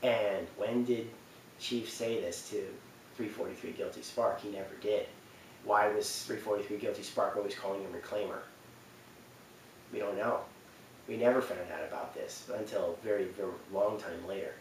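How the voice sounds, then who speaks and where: A man giving informal, halting commentary on video game cutscenes, repeating himself for emphasis. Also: fairly low pitch, fairly even intonation. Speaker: video host. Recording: echoey room, distant mic, faint noise.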